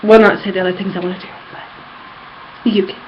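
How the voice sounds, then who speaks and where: A woman's voice: medium pitch, little pitch movement, normal speed. A woman chatting casually in a rambling personal vlog recorded at home, with a giddy fangirl enthusiasm. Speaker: vlogger. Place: apartment room. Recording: dull lo-fi home recording, background noise.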